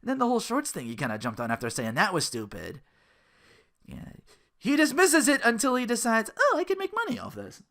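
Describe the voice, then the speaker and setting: A podcast host with a very lively, wide-swinging pitch delivers casual ranting commentary. The manner is exasperated and sarcastic, with plenty of swearing. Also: a little fast. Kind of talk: casual ranting commentary about an internet personality.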